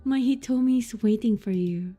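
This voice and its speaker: A woman's voice: on the high side, moderate pace, mellow, faint music behind. A woman streaming a horror visual novel, reading the on-screen text aloud between giggles and startled swearing. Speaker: streamer playing a horror game.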